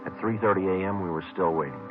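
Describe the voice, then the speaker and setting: A man's voice: deep voice, quick pace, lightly acted. A man acting as a terse American detective sergeant narrating his case in a clipped, matter-of-fact 1950s radio drama style. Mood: deadpan, somber. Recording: muffled vintage radio recording with music behind.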